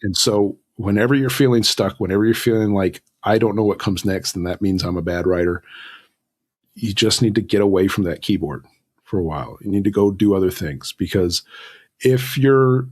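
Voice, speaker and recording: Man, lowish pitch, rough-edged husky tone, reflective, halting, casual interview talk. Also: a little mellow. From Southern California, United States. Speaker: podcast guest, author. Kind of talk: conversational interview advice.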